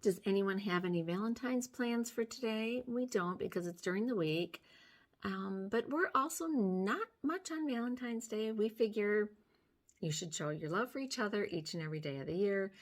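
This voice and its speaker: An older woman with a hoarse edge to her voice talks slowly and gently through each makeup step. Her pitch sits in a middling range for a woman. She is recorded close up on a phone in a small room at home. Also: animated. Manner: gentle, step-by-step explaining while applying makeup. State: hoarse from sinus trouble. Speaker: beauty vlogger. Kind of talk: makeup tutorial chat. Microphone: phone camera close up.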